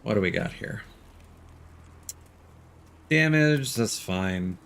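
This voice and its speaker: A man's voice: on the low side, lively intonation, creaky, a bit bright. A man streaming a video game, thinking aloud in casual commentary. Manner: musing aloud, unhurried asides. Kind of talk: casual livestream gameplay commentary. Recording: game ambience behind voice. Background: faint game ambience.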